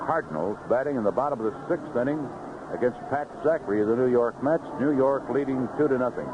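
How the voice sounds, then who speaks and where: A man's voice: medium pitch, brisk. A man calling live baseball play-by-play in clipped, conversational phrases on a vintage radio broadcast. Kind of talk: live play-by-play sports commentary. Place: outdoor ballpark broadcast booth. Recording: noisy muffled vintage 1970s radio broadcast.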